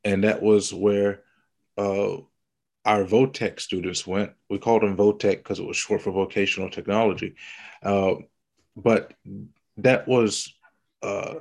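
Brief: low gravelly man, raspy and under the weather, unhurried bible-study host on a call. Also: steady tone. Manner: slow, teasing, explanatory. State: raspy from illness. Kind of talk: casual Bible study chat over video call. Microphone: video call.

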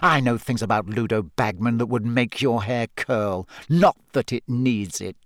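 A man's voice: medium pitch, moderate pace, no room sound, clean studio sound, close to an everyday voice. A man voicing a gossipy, smug, predatory woman reporter in a storybook, with a drawling, insinuating manner. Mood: cold, condescending.

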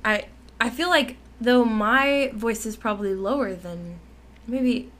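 A woman's voice: fairly high pitch, slow, fairly bright tone. A young woman co-hosting a podcast, chatting casually with fillers, restarts and frequent laughs. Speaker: podcast co-host. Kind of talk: casual chat.

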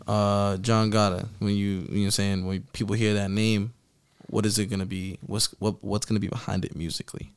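A man interviewing someone in a casual, slangy way, feeling his way haltingly into his questions. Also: on the low side, flat delivery, smooth, no room sound.

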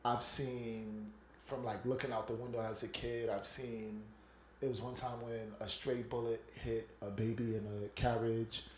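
A man's voice: medium pitch, little pitch movement, normal speed, roomy sound, slight background noise. A man from Brooklyn, co-hosting a podcast and telling personal stories in an earnest, reflective way. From Brooklyn, New York. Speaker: podcast co-host. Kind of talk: casual podcast chat.

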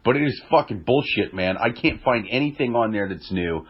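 Young man, stuffy nasal cold, husky voice, muffled lo-fi sound, deadpan jokes. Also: lowish voice, a little fast. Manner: dry deadpan joking. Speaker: podcast co-host. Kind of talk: casual chat. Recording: dull lo-fi audio.

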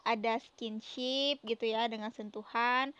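High-pitched, giggly young woman. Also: steady tone, a little slow, a bit bright, smooth, no echo, highs cut off. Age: young adult. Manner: giggly, informal, playful teasing. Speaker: podcast host. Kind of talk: casual podcast chat.

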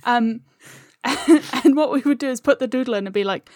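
A woman's voice: a little high, moderate pace, a little mellow, no echo, studio quality. A woman guest chatting casually, wry and anecdotal, acting out remarks as she tells stories. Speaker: interview guest, audio drama creator. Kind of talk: casual chat.

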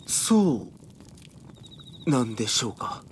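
A man's voice: medium pitch, sing-song, slow, a little hiss or hum. An actor playing a timid, depressed young man in an audio drama, hesitant and polite. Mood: startled, unsure.

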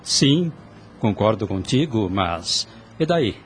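A man's voice: on the low side, sing-song, slow pace, slight background noise. An actor playing an eager, lovesick young nobleman in a radio soap, theatrical, formal and exclamatory. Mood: puzzled. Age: young adult. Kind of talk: radio soap opera acting. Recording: music under the voice.

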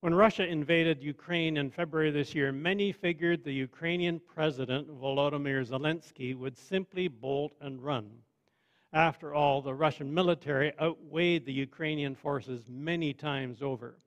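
A man preaching a sermon in a measured, conversational way with wry asides. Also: fairly high pitch, muffled recording. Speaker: pastor.

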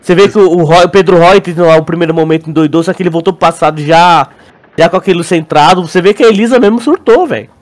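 A Brazilian man with a fairly high voice talks like a podcast co-host among friends. His speech is slangy and informal, and he circles back over words while working out his point. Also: quick pace. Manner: slangy, repeats words while searching for phrasing. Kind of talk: casual chat, theorizing about a show.

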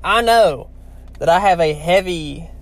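A man's voice: a little high, measured pace. Chatty young man, heavy Louisiana drawl. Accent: heavy Southern US, Louisiana. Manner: chatty, rambling, talks straight to viewers. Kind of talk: casual talk to camera.

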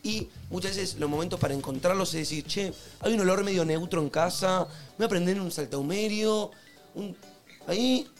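A man giving a soft, laid-back sponsor plug on a casual show. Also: sits high, moderate pace, soft background music.

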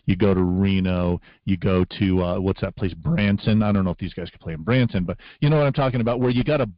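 A man co-hosting a casual podcast, chatty and agreeable in quick banter. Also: low voice, no echo, muffled recording. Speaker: podcast co-host.

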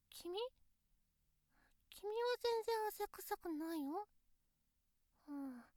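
A woman voicing a shy, devoted teenage schoolgirl girlfriend in an intimate bedside voice drama, with a gentle, hesitant manner. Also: extremely high pitch, no room sound, studio quality. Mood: bashful, hesitant. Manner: gentle, hesitant, stammering when embarrassed, drawn-out delivery. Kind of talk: Japanese situation voice drama, intimate bedside roleplay for relaxation.

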